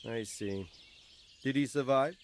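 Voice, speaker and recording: A man playing a brisk, inquisitive and authoritative medieval lord in a video game. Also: mid-range voice, a little hiss or hum, natural voice. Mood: concerned, inquiring. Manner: commanding, inquisitive.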